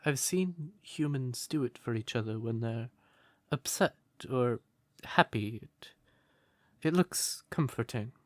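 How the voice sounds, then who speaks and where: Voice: medium pitch, expressive, takes it slowly, no echo. A performer voicing a shy, smitten crow turned human in an intimate comfort roleplay, hesitant and stammering, naively earnest. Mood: shy, affectionate.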